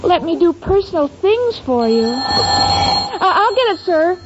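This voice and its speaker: A woman's voice: very high-pitched, sing-song, takes it slowly, exaggerated voice. A woman playing a scatterbrained, naive young American secretary, eager to please and stammering earnestly, in 1940s radio comedy style. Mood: pleading, earnest. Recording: noisy dull 1940s radio recording.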